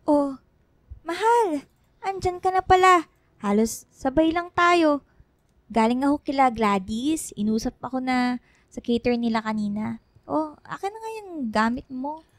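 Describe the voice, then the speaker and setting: A woman's voice: high voice, expressive, a little slow, pauses often, no echo. A woman acting a caring, fussing wife and mother in a radio drama, attentive and affectionate, with a Filipino accent. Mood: cheerful, caring. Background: background music.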